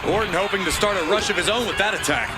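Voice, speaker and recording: A man's voice: high voice, fast talker, very noisy, natural voice. A man voicing a color commentator in a wrestling video game, giving knowing analysis. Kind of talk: scripted sports commentary.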